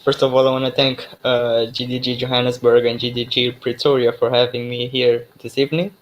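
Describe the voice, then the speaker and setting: Young man from Mozambique, native Portuguese, mid-pitched voice, loose online tech talk full of fillers. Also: a little hiss or hum, poor audio. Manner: relaxed, many fillers and restarts. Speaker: guest speaker, developer expert.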